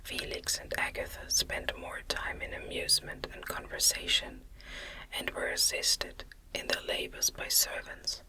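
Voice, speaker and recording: Slow whispering woman, ASMR reading. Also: low-quality recording. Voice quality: breathy, unvoiced whisper. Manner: gentle, deliberate literary reading. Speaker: audiobook narrator. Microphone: close microphone.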